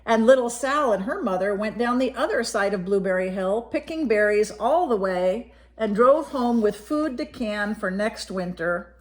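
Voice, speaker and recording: A woman reading a picture book aloud as a storyteller for young children, expressively, pausing to explain pictures and ask questions. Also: mid-range voice, lively intonation.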